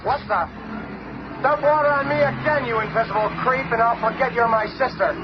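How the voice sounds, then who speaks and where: High-voiced, hot-headed young hero. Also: male voice, a little fast. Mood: brash. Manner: brash, excitable, taunting. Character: cocky, hot-headed young male fire superhero. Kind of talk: 1970s superhero radio drama acting. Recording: muffled, noisy old radio recording.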